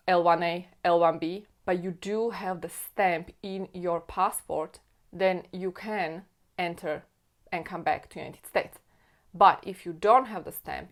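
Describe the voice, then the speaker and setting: Slovak-accented woman explaining visa rules. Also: lowish voice. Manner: earnest, repeats key points. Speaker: immigration attorney. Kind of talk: explainer video to camera.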